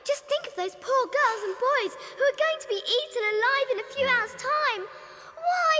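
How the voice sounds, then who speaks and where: A woman's voice: very high voice, animated, normal speed, sharp tone, in a large hall, slight background noise, exaggerated voice. An actress playing a plucky, inquisitive young orphan girl in an audiobook drama, well-spoken and eager. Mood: urgent, distressed. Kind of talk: full-cast audiobook dramatization.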